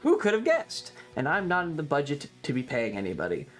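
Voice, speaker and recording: A streamer talks casually at a middling pitch over background music. The delivery rambles along with wry, jokey asides and some mild crudeness, as in a relaxed livestream chat. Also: fairly quick.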